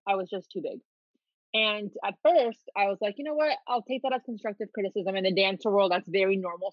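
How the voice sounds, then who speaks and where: A woman's voice: mid-range voice, no room sound, dull audio. A woman from the Dominican Republic, raised in Miami, telling her story as a podcast guest in a candid, easygoing way. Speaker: podcast guest, clothing company owner. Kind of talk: casual interview storytelling.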